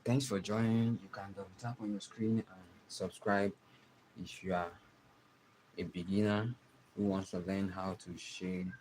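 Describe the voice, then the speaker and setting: A young man with a Nigerian accent, giving a live drawing tutorial and talking softly as he works. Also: sits low, level tone, background noise. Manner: slow, soft-spoken, trailing off while drawing. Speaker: art teacher streaming live.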